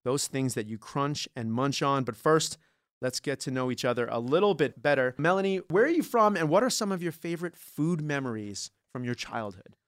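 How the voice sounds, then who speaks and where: A man hosts a food podcast in the role of a playful judge, joking with his guests and often laughing. His voice is mid-pitched and recorded close on a dry studio mic. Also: animated, fairly quick, no room sound.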